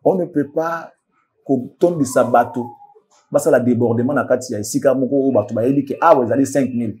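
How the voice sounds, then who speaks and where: A man's voice: mid-range voice, fairly quick, darkish tone. A man commenting in a casual studio discussion, switching between French and Lingala, often restarting and repeating words. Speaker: commentator guest.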